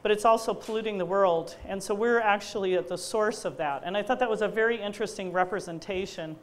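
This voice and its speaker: A middle-aged woman, an engineering lecturer at a university, giving an energetic public talk with slides in a loud voice and many fillers. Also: medium pitch, fast.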